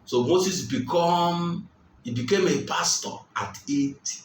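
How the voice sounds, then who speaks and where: A man preaching a sermon, speaking slowly and with emphasis, repeating his key phrases. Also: on the high side. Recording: roomy, distant mic, faint ambience.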